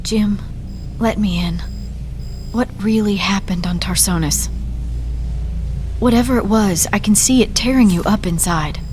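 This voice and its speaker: A woman's voice: medium pitch, measured pace, long pauses, darkish tone, soft background music, lightly acted. A woman playing a caring, earnest scientist in a video game. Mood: concerned. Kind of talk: cinematic game voice acting.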